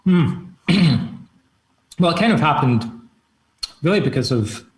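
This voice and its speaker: A man's voice: on the high side, expressive, takes it slowly, poor audio. A middle-aged man with a Scottish accent, an author and psychotherapist answering interview questions in an easygoing, rambling way over a video call. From Scotland. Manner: easygoing, rambling with many fillers. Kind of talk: relaxed conversational interview answers.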